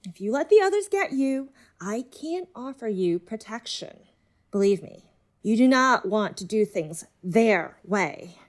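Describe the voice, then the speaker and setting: A woman's voice: medium pitch, sing-song, a little slow. A woman playing a manipulative alien creature in a human body, coaxing and controlling, in an audio drama. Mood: urgent, threatening. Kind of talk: fiction podcast audio drama acting.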